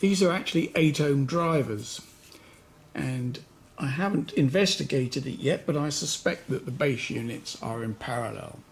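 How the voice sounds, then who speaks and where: A man giving an unscripted hands-on demonstration, talking in an unhurried way and pausing mid-sentence as he works. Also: mid-range voice, creaky, breathy edge. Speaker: host of a hi-fi repair channel.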